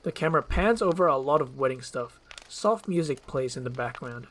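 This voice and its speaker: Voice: mid-range voice, expressive, a little fast, fairly bright tone. A narrator reading screenplay directions in a scripted ASMR storytelling style.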